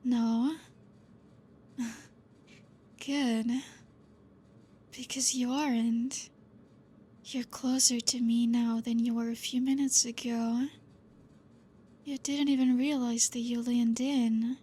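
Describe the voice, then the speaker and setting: A woman voicing a calm, possessive female vampire teacher in a soft, intimate, half-whispered ASMR roleplay, gently teasing. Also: on the high side, slow, long pauses, breathy edge. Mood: tender possessive.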